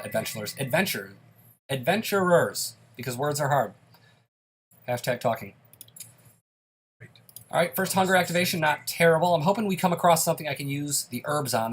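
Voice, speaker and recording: A man's voice: a little high, a bit bright, husky. A young man giving casual, self-deprecating play-by-play commentary on a dungeon game while a bit sick. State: slightly sick, occasional coughs. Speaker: gaming YouTuber.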